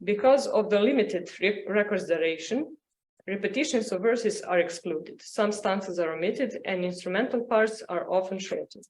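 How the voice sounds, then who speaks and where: A woman's voice: medium pitch, darkish tone, slight background noise, low-quality recording. Woman reading an academic paper. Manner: measured scholarly delivery with occasional stumbles. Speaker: academic presenter. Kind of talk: formal conference paper read aloud in English.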